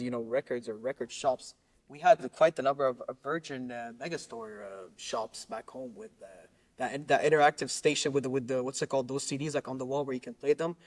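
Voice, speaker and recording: A man from Lebanon who grew up in Qatar, talking casually as a podcast guest in a halting, rambling way, repeating words as he searches for phrasing. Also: medium pitch, no room sound. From Lebanon, raised in Qatar. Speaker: musician interview guest.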